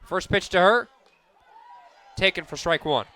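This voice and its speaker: Guy doing live softball radio play-by-play, kinda high bright voice, describes every pitch. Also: lively intonation, measured pace, a faint crowd. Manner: descriptive, crisply narrating each play.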